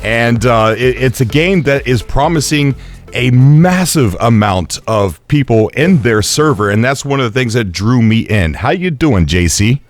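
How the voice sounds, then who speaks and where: A man's voice: medium pitch, animated, a little slow, faint music behind. A man hosting a gaming interview show, eager and stumbling over his words. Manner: enthusiastic, stumbling restarts. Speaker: show host.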